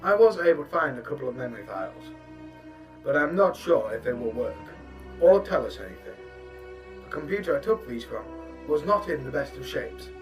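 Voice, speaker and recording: A man playing a composed, courteous rabbit starship captain in a sci-fi audio drama, giving crisp orders. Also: mid-range voice, big pitch swings, fairly quick, music in the background, natural voice, poor audio.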